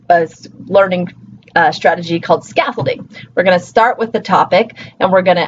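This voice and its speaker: Woman lecturer, low-pitched, chatty with lots of fillers, muffled recording. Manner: casual and chatty, with many fillers and self-corrections. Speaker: college instructor. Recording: slightly muffled, faint hiss.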